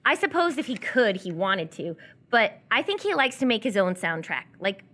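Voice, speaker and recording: A coy, lonely young captive, acted by a woman in a radio drama. Her bright, expressive voice swings in pitch as she teases and sidesteps with small hesitations. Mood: evasive, defensive. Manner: playful, evasive, hesitant pauses. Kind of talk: live radio theatre dialogue.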